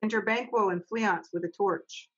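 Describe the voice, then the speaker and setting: A woman's voice: mid-range voice, normal speed, a bit bright, slightly rough, lo-fi sound. A middle-aged woman, reading stage directions as narrator of an amateur readers' theater over a video call. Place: home office on a video call.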